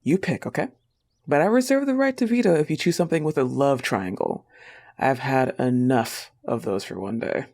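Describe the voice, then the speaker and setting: A young woman playing an affectionate, possessive, teasing wolf-girl girlfriend in an intimate roleplay audio, tender and often breathy. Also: rumbling low, expressive, normal speed, mellow, heavily acted. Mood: playful teasing.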